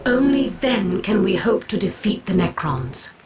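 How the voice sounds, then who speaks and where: Solemn, lofty alien seer. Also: female voice, medium pitch, sing-song, noisy background. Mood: wry disdain. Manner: measured, lofty, portentous. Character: solemn, ancient, aloof female alien seer. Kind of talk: video game cutscene acting.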